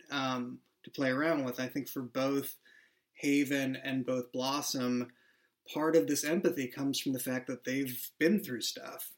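Man, mid-pitched voice, reflective, restarts as he thinks. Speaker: writer and show creator. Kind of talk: casual behind-the-scenes chat.

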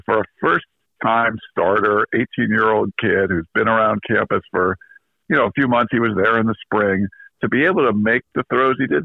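A man giving a casual interview as a sports reporter, talking fast and repeating words as he thinks. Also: lowish voice, creaky, husky, dry recording. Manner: quick, casual, repeats words and laughs lightly. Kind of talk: phone interview. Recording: tinny phone line.

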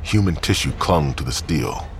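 A man's voice: very deep voice, lively intonation, normal speed, a little hiss or hum. A man reading scripted crime fiction as the narrator.

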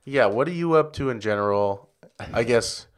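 A man's voice: mid-range voice, animated, moderate pace, slight background noise. A man, a comedian, talking as a guest in a casual interview in an easygoing, hesitant way. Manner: easygoing, hesitant, lots of fillers.

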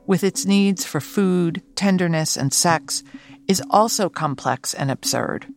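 A woman with a New York accent hosting and narrating a scripted, reflective segment. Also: lowish voice. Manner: reflective, essayistic. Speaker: podcast host.

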